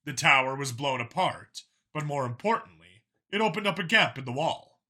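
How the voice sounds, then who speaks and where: A man's voice: on the high side, lively intonation, normal speed, bright, forceful tone. A man reading a scripted story as a dramatic audiobook narrator.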